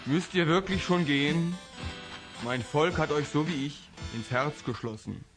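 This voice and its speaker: A man's voice: a little high, a little slow. A man playing a kindly, magnanimous king with stately phrasing in a children's fantasy radio play. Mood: fond, sorry. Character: kindly, magnanimous old-fashioned king, a father. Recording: music behind the voice.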